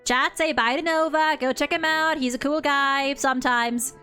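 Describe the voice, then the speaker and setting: A woman hosting a stream, chatting casually and gushing in an animated, blunt, sweary way. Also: high-pitched, normal speed, faint music behind. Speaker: host of a game ranking stream.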